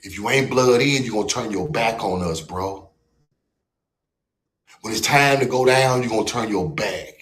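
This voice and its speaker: A man's voice: on the low side, normal speed, pauses often, fairly bright tone. A man from the United States hosting a livestream, ranting in a mocking, confrontational and profane way. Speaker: livestream host. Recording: roomy echo.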